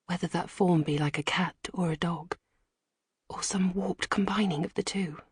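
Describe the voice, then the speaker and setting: A haunted woman from 1640s England voiced by a female narrator confides her story. Her voice is lowish and very husky, often close to a whisper, with measured phrasing in a dry audiobook recording. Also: dry recording. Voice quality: hushed, whispery, breathy. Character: haunted Englishwoman of the 1640s recounting her troubles. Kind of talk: first-person audiobook narration.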